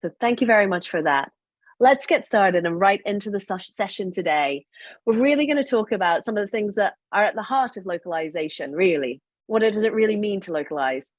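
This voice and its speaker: A woman's voice: mid-range voice, expressive, fairly quick, no echo. Woman moderator, upbeat, close and dry recording. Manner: upbeat, welcoming. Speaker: panel moderator. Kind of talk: moderating an online panel.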